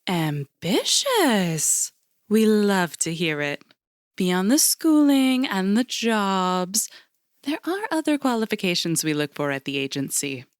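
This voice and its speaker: An actress playing an overly pleasant, patronizing female agency interviewer in an audio drama, polished and measured in manner. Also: medium pitch, very animated, dry recording, studio quality. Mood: condescending, probing.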